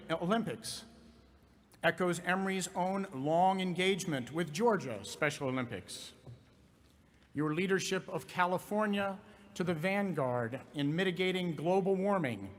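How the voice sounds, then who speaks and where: High-voiced man reading a formal citation. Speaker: university president conferring degree.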